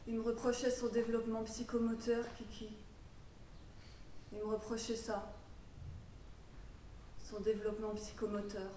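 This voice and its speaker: Woman playing troubled young mother, high voice, wounded, defiant, volatile stage monologue, echoey room. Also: monotone, normal speed, long pauses, far from the mic, natural voice. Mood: wounded, brooding. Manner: volatile, swinging from muttered confiding to shouted outrage. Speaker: drama student performing a monologue. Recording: roomy echo with background noise.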